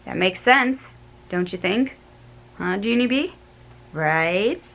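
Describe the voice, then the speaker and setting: A woman voicing a quiet, serious little girl who talks earnestly to her worried friend in a storybook reading. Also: medium pitch, lively intonation, slow, creaky, heavily acted.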